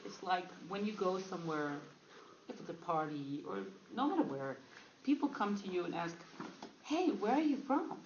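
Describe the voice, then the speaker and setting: A woman from Berlin answering interview questions, acting out little dialogues with a raised, lively voice. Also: lowish voice, moderate pace, slight background noise. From Berlin, Germany. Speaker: interview guest. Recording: echoey lo-fi room recording.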